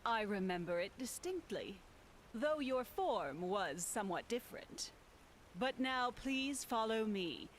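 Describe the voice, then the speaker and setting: A woman's voice: a little high, big pitch swings. A fantasy game housekeeper, primly courteous and evasive. She takes her time over every formal phrase. Faint hiss sits under the voice. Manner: formal, unhurried, primly polite. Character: courteous, evasive female housekeeper of a mysterious manor. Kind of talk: scripted fantasy game dialogue.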